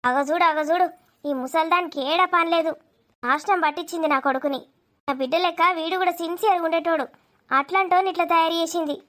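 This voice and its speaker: A woman's voice: very high-pitched, fast, fairly bright tone. A woman voicing a scolding, sarcastic village mother in a cartoon comedy, nagging with a Telangana accent.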